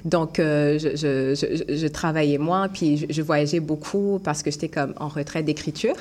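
Guest actress, young, non-Québécois French accent, a bit low-pitched, reflective and halting. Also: female voice, steady tone, a little mellow. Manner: thoughtful, hesitant, many restarts and fillers. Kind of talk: casual interview chat.

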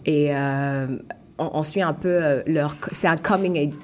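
A woman's voice: low-pitched, a little slow, a little hiss or hum. A young woman, an actress and playwright, speaking French without a Québécois accent, talking thoughtfully in an interview with frequent restarts and fillers. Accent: non-Québécois French. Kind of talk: casual interview chat.

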